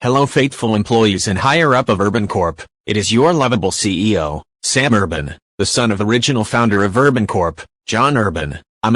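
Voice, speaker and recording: Man acting as stern corporate boss, pompous, formal announcement, slightly deep, dry recording, horror series. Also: a bit bright, no echo. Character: self-important male company CEO, stern and threatening. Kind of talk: scripted company announcement.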